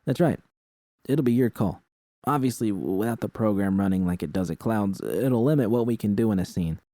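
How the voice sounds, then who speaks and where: A man's voice: fairly low pitch, normal speed, warm tone, no room sound, clean studio sound, lightly acted. A man voicing an earnest young woman technician, nervous but professional, hesitant and accommodating. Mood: polite, professional.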